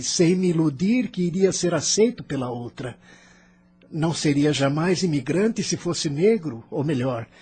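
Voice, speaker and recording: Ator fazendo um imigrante amargurado, voz abafada e rouca. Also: male voice, fairly high pitch, mellow, husky. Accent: Brazilian. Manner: argumentative, self-pitying, emphatic. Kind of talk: dramatized audio novel dialogue.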